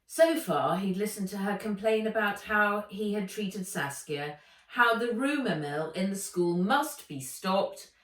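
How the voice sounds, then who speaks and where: Unhurried, expressive woman reading a story, echoey. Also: medium pitch. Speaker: author reading their own book. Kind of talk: children's audiobook narration. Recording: roomy echo, distant mic.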